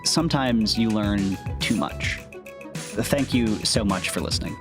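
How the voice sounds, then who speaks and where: A man's voice: lowish voice, a little slow. A man hosting a podcast, giving an informal, conversational and self-deprecating introduction. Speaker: host and audio fiction writer. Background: music with guitar.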